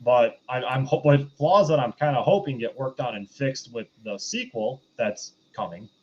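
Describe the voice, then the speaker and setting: A man co-hosting a casual review chat, talking in a measured, hedging way on a slightly dull microphone. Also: medium pitch, darkish tone. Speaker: podcast co-host.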